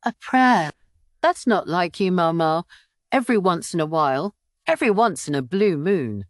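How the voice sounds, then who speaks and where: A woman voicing a playful young woman who teases softly while masking sadness and resolve, in a novel reading. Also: on the low side, lively intonation, normal speed, dry recording, studio quality, close to an everyday voice. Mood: teasing.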